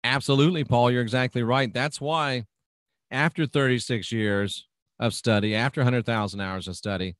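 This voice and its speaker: A man's voice: medium pitch, steady tone, moderate pace, a bit bright, no echo, clean studio sound. Folksy man hosting a home-made radio show. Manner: folksy, chatty, agreeable. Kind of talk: casual talk reading out live chat comments.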